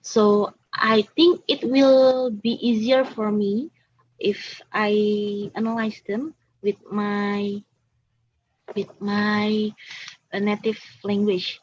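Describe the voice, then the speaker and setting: A woman's voice: medium pitch, little pitch movement, takes it slowly, breathy edge. A young woman with an Indonesian accent, a student answering questions at an online seminar, hesitant and repeating herself with many fillers. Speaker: student presenter. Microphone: video call. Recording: muffled video call audio.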